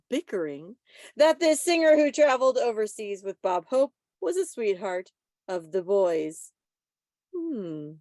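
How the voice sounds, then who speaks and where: Very lively woman presenting a quiz. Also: a little high, no echo, clean studio sound. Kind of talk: quiz hosting, reading questions and answers. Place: video call.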